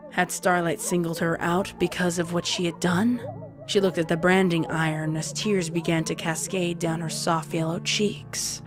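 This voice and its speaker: A woman reads scripted fiction as the narrator. Her voice is on the low side, and background music is clearly audible beneath the narration. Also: level tone, moderate pace.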